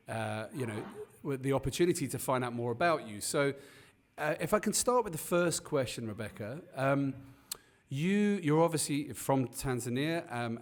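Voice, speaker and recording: A man hosting an interview over a video call, chatting in a friendly, rambling way with many restarts. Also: mid-range voice. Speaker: podcast host. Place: Cape Town.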